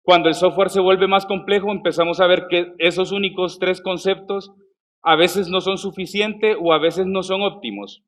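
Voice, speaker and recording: A man's voice: sits high, moderate pace. Salvadoran man giving a tech talk. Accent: Salvadoran Spanish with Colombian words. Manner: conversational, with frequent fillers and restarts. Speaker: conference speaker. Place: conference stage.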